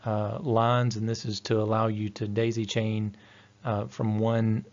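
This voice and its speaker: Low, even-toned man explaining technical steps. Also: unhurried. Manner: methodical, explanatory. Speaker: technical trainer. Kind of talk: instructional presentation narration. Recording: dull, lo-fi voice-over audio.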